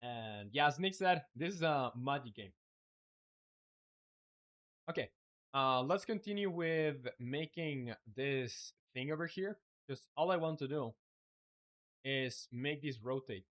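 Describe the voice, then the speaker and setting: A man's voice: mid-range voice, takes it slowly, long pauses. A young man from Chile whose first language is Spanish, talking casually and thinking aloud while building his game on a live stream. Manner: relaxed, thinking aloud with many fillers.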